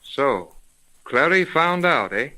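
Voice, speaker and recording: Brash bright-voiced detective's sidekick. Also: male voice, on the high side, animated, slow pace, full character voice. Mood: uneasy. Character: brash, eager young man working as detective's assistant. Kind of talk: 1930s radio acting. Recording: old 1930s radio recording.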